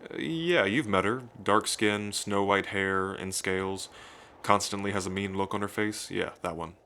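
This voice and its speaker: Low-voiced, doting dragon king, played by a man. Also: unhurried, pushed and bright, a little hiss or hum. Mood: playful, eager. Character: young romantic dragon king, doting and playfully proud. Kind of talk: intimate ASMR roleplay addressed to the listener.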